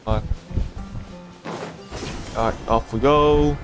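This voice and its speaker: A man's voice: medium pitch, takes it slowly. A man casually commentating live gameplay as a streamer, thinking aloud as he goes. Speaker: game livestreamer. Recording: game music behind the voice. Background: video game music.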